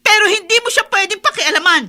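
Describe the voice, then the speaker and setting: Young captive wife, Filipino accent, very high bright voice, emotional, proud, defiant, radio drama. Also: female voice, lively intonation, fast, exaggerated voice. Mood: defiant, hurt.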